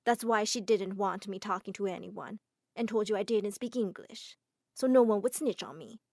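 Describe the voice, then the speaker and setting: A woman voicing a young male makeup artist who explains himself in a relaxed way. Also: medium pitch, normal speed, no echo, studio quality, close to an everyday voice. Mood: explaining.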